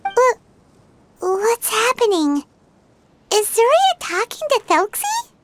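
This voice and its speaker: A woman voicing a tiny, excitable, childlike fairy companion in a video game, exclaiming theatrically. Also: very high voice, takes it slowly, slight background noise, full character voice. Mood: puzzled. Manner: exclaiming, theatrical, refers to herself in third person. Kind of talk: video game cutscene acting.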